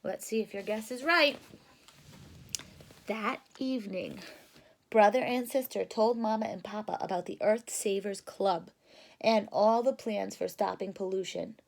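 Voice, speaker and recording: A woman reading a children's picture book aloud in a deliberate, teacherly way, stopping to put questions to young listeners. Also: medium pitch, vocal fry. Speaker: read-aloud storyteller for children.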